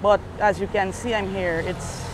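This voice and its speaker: A woman's voice: mid-range voice, fairly even intonation, moderate pace. A woman police sergeant with a Guyanese accent, answering interview questions outdoors in short, matter-of-fact replies. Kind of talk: street interview. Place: outdoors by a street junction. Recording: outdoor street noise.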